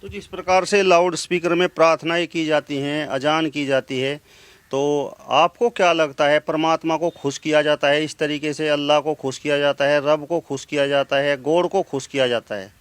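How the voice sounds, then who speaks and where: A man interviewing a holy man, asking measured, formal questions. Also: on the high side, steady tone, clear, resonant tone, dry recording.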